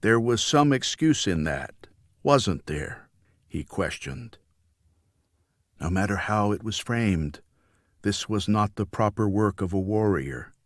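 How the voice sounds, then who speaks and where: A man narrates a novel in a low voice at a very slow pace. His delivery is solemn and deliberate, like a careful audiobook reading, and the sound is dry and close. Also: lively intonation, no room sound.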